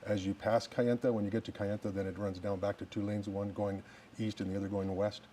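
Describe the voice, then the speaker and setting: A man of about fifty from New Mexico, a firefighter running for office, answering interview questions politely and plainly, with halting restarts. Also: lowish voice, flat delivery, mellow, slight background noise.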